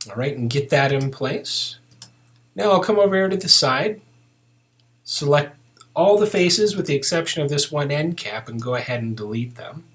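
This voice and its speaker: Man narrating a software tutorial, casual and methodical. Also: fairly high pitch, expressive, moderate pace. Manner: casual, methodical, thinking aloud. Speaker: 3D modeling tutorial instructor.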